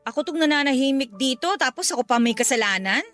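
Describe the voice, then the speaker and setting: A woman's voice: high-pitched, animated, fast. An actress playing an ambitious young career woman with a Filipino accent, insistent and defensive with her family in a Tagalog radio drama. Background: soft music underneath.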